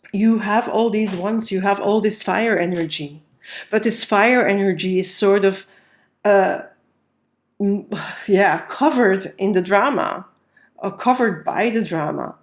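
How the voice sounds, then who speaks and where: Nasal woman with a cold reading tarot. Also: lowish voice. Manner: unhurried, talks through each card as she lays it out. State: has a cold. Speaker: tarot reader. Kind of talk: casual spoken tarot reading.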